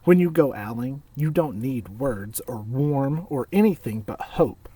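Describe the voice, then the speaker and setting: A man reading a children's story aloud in a measured way, with pauses between phrases. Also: mid-range voice, lively intonation, mellow, slightly rough, far from the mic. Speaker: storybook reader.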